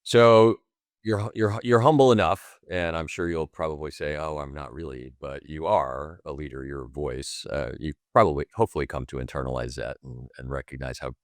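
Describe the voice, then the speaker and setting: A man's voice: rumbling low, fairly even intonation, a little fast, no echo, studio quality. A man hosting a podcast, asking long, winding questions and affirming his guest. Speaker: podcast host. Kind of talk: conversational interview questions.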